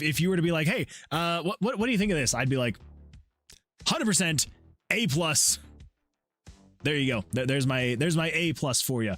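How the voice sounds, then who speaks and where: A man's voice: a little high, a bit bright. A man streaming his indie game development, chatting in a loose, effusive way and often repeating his praise. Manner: effusive, rambling, repeats himself. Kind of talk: casual livestream chat.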